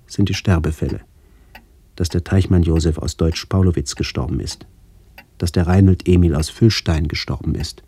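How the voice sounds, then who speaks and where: A middle-aged man reading a literary memoir for radio in a measured, sober way, with a gravelly voice. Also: sits low, warm tone. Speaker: author-narrator of a memoir radio play. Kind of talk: scripted literary narration, reminiscence.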